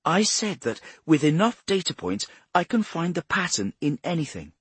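A man's voice: a little high, sing-song, normal speed, breathy edge, no room sound, voice pushed up, full character voice. A man voicing an earnest, brainy young woman analyst who explains things precisely and insistently in an audiobook.

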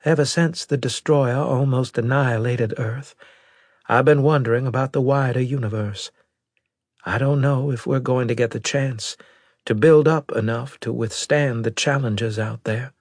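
A man's voice: medium pitch, steady tone, normal speed, breathy edge, dry recording, studio quality, pitched above the performer's own voice. A man voicing an earnest, loyal female military brigadier in an audiobook, worried but resolute, with formal, emphatic speech. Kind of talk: audiobook character dialogue.

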